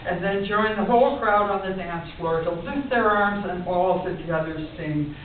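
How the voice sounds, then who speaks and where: An older woman gives a live poetry reading in a conversational, expressive way. Her voice comes through thin and phone-like, picked up at a distance in a heavily reverberant room. There is a faint hiss behind her. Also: lowish voice, moderate pace. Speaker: poet reading her work to a conference audience. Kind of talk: live poetry reading with informal spoken introductions. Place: indoor conference room, at a lectern. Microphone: distant camera in the audience. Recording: distant, echoey, muffled narrow-band with hiss.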